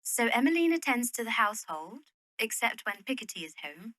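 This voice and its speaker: A woman acting a poised, genteel young widow in an audio drama, with a measured, formal manner. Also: a little high, big pitch swings, a bit bright, dry recording, low-quality recording. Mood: composed, gracious. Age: young adult.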